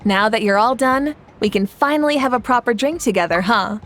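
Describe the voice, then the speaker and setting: A woman's voice: on the high side, animated, a little fast, a bit bright. A woman playing a hearty, friendly tribeswoman in a video game, talking in a breezy, good-natured, familiar way. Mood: jovial, admiring.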